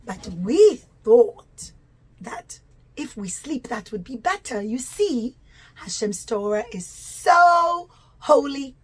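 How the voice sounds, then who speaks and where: A woman's voice: high voice, sing-song, takes it slowly, slightly rough, full character voice. A woman voicing earnest, innocent Israelites who plead and explain simply in a children's puppet show.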